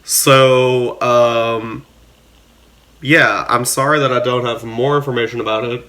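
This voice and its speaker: A man giving a casual, unscripted review to camera as host, talking in a halting way with pauses mid-thought. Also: medium pitch, steady tone, pushed and bright. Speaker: host of a tarot deck review channel.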